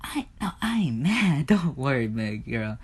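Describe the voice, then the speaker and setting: A man's voice: mid-range voice, very animated, measured pace, slightly rough. A man voicing an enraged, menacing god of the underworld who threatens in repetitive, profane bursts. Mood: seething. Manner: threatening, swearing, repeating himself.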